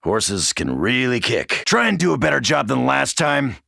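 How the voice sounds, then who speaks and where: Gravelly American soldier, gung-ho video game zombie slayer, brash, swaggering, hard forceful edge, very dry close recording. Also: male voice, medium pitch, sing-song, moderate pace, dry recording, full character voice. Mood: wry, teasing. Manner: brash, wisecracking, swaggering, foul-mouthed. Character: tough cocky American soldier, gung-ho zombie-slaying marine. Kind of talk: video game character barks.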